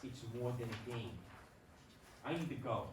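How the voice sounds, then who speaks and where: A man's voice: mid-range voice, normal speed, close to an everyday voice. A man in a staged play reading playing an earnest young baseball player, anguished, conflicted and weary. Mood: defiant, hurt. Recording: very echoey hall, distant mic, faint noise.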